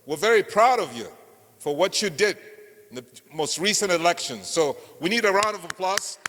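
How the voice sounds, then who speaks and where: Older man from Cameroon, bright voice in a hall. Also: high-pitched, expressive. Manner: earnest, storytelling, slow deliberate emphasis. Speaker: political candidate speaking at a meeting. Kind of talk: campaign speech. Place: meeting hall. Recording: reverberant hall.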